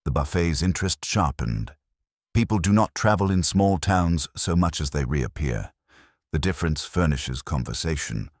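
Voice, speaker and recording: Very deep-voiced man narrating a mystery. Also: a little mellow, dry recording, studio quality. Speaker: audiobook-style narrator. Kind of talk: scripted literary mystery narration.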